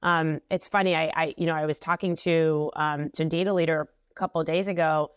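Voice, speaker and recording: A woman's voice: deep voice, steady tone, brisk, clean tone, no room sound, clean studio sound. A woman in her thirties from Israel, answering interview questions in a chatty way with many ums, over an earphone mic on a video call from a home office. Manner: chatty, frequent ums and restarts. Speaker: guest, company CEO.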